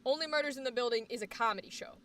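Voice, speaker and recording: A woman with a high, bright voice and a slight Boston accent, chatting loosely on her livestream. She rambles and repeats words for emphasis, and sounds a little away from the mic. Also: racing, distant mic, a little hiss or hum. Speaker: livestream host. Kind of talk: casual chat stream.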